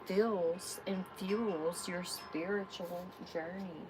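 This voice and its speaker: Slow, soft-spoken woman tarot reader. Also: on the low side, steady tone, background noise. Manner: soft, unhurried, soothing affirmations. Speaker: tarot reader hosting a live stream. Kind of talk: live tarot reading with spiritual guidance.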